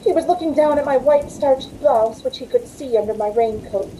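A woman's voice: sits high, normal speed, mellow, a little hiss or hum, natural voice, rough recording. A woman playing a frightened, pleading young woman in an old-time radio suspense drama, with an anxious, dramatic delivery. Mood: tense narrating. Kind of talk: old-time radio suspense acting, first-person narration. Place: indoors at home on a video call.

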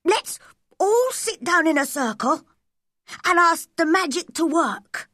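An earnest young storybook boy, played by a woman narrator, speaks in a very high, swooping voice. He is excitable and hopeful, declaring things with wide-eyed fervour. The sound is close and dry. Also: slow pace, dry recording, heavily acted. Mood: eager, earnest.

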